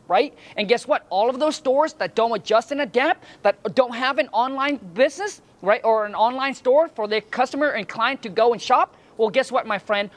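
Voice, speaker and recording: A man from Vietnam, giving motivational business advice to camera in an earnest way, often tagging his points with "right?" and "my friend.". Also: very high voice.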